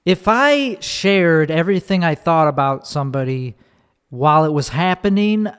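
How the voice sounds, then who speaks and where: A man with a Chicago accent rants in a rambling solo podcast, swearing often and breaking off his sentences. His voice sits a bit high for a man. The recording rings with a long reverb tail. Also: animated, moderate pace, a bit bright, smooth. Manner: profane, rambling, restarts mid-sentence. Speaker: comedian and podcast host. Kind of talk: ranting solo podcast monologue. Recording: long reverb, echoey room.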